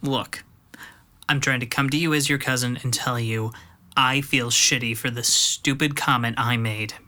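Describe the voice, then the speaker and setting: A man's voice: mid-range voice, steady tone, moderate pace, sharp tone. A man acting a remorseful young cousin in an audio drama, speaking in a frank, conciliatory way.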